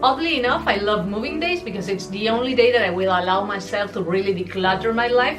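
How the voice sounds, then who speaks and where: Candid woman, not a native English speaker. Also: mid-range voice. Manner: candid, reflective, self-deprecating. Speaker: vlogger. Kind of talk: personal confessional vlog narration.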